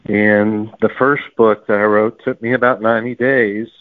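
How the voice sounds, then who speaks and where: A man's voice: on the low side, little pitch movement, moderate pace, no room sound. Male interview guest, conversational storyteller, explanatory manner, dry narrowband audio. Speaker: author guest. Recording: narrow phone-like sound, dry.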